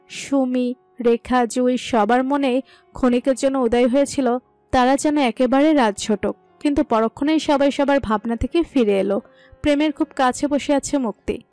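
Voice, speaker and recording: A woman's voice: fairly high pitch, a little mellow, dry recording. A woman reading a scripted story as its narrator.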